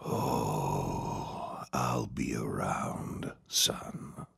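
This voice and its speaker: An actor playing a menacing, scheming old reverend in an audio drama, husky and pompous in his deliberate delivery. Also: rumbling low, whispered, heavily acted. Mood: whispered menace. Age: older. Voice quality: husky, raspy.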